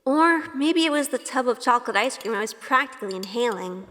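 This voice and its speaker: A woman's voice: on the high side, expressive, a little fast, sharp tone, big-room sound. A woman playing a sheltered, earnest college-age good girl in a first-person audio drama. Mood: wary reflective. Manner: earnest, unsure.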